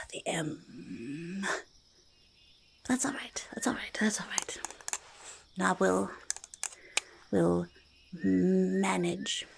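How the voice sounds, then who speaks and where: A woman voicing a quirky, eager little goblin in a soft-spoken ASMR roleplay, creaky and whispering, with halting, repetitive speech. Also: on the low side, a little hiss or hum, exaggerated voice. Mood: delighted, playful. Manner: halting, repetitive, third-person speech with drawn-out words. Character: quirky, eager, faintly menacing little goblin, friendly to humans. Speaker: ASMR performer in character.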